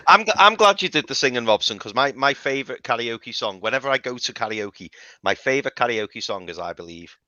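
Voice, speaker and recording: A man's voice: medium pitch, animated, brisk, sharp tone, dry recording, dull audio. A man co-hosting a casual chat, laughing and self-deprecating. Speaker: co-host.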